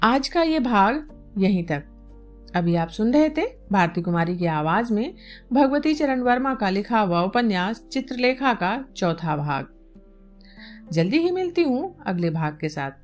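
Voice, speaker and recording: A woman narrating a literary audiobook in an expressive, deliberate storytelling manner. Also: medium pitch, faint music behind.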